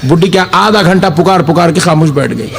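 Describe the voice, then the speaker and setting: A young man preaching a sermon, telling a humorous story in an animated way. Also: on the high side, a little fast, gravelly, crowd noise. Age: young adult. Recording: live gathering with audience noise. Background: audience reactions.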